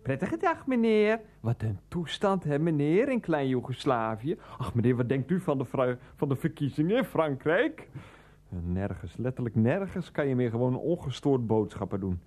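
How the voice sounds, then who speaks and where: Casting: irritable everyman grumbler for radio comedy, blunt, with a highly animated pitch range. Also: normal speed. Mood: ranting. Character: exasperated, grumbling male customer, easily worked up. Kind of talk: comic radio play acting.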